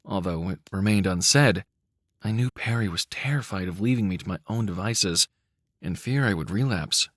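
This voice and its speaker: A man giving a measured, cinematic, dramatic narration of a dark short story. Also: low-pitched, no room sound, clean studio sound. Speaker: audiobook-style story narrator.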